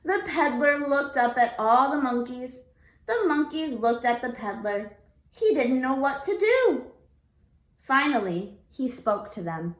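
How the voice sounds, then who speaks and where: Woman teacher, children's read-aloud, high lilting voice, slow deliberate delivery, echoey room. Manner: deliberate, expressive, prompting listeners with questions.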